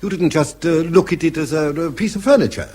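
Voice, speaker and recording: An actor playing a wily old professor in a radio drama, hesitant and repeating himself with feigned vagueness. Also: male voice, fairly high pitch, a little fast, darkish tone, slightly rough. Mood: slyly mocking. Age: older. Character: wily old professor, hesitant, slyly needling, senior scientist.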